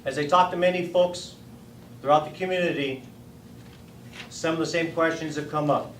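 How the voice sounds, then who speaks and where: A middle-aged American man from San Diego giving an earnest, prepared candidate's speech with deliberate phrasing. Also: a little high, fairly bright tone. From San Diego, United States. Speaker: political candidate, former Marine. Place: meeting room at a party headquarters. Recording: echoey room, distant mic, faint noise.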